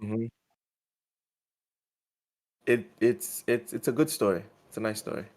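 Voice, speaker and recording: A man co-hosting a casual gaming news show, talking excitedly and often repeating words as he thinks. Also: medium pitch, a little slow. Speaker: podcast co-host.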